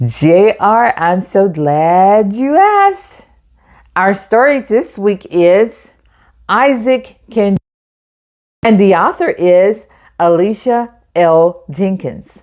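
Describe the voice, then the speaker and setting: A woman hosts a read-aloud for children with a lively, swooping intonation. She takes her time, addressing young listeners directly in an encouraging way. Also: mid-range voice, extremely slow. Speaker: storytime host.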